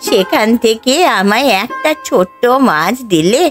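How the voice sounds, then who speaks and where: A woman's voice: medium pitch, expressive, unhurried, faint music behind, close to an everyday voice. A woman voicing a poor, elderly village woman in a cartoon, pleading plaintively. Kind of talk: cartoon voice acting.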